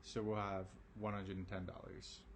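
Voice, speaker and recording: Raspy low-voiced male math teacher. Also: flat delivery, normal speed, slight background noise. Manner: casual, reassuring, many ums and okays. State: raspy, tired voice late in the day. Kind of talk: recorded math lesson.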